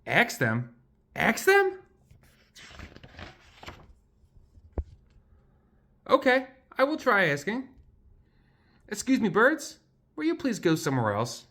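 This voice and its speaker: A man voicing an anxious, excitable picture-book elephant who exclaims and asks questions in disbelief. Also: high voice, measured pace, long pauses, pitched above the performer's own voice, full character voice. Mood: hesitant.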